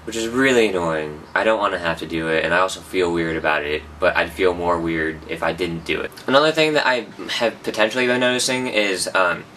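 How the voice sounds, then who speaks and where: A man's voice: low-pitched, brisk, hardly any pauses, pushed and bright, far from the mic, slight background noise. A young man rambling through a casual personal update, often correcting himself. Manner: rambling, self-correcting, chatty. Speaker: vlogger.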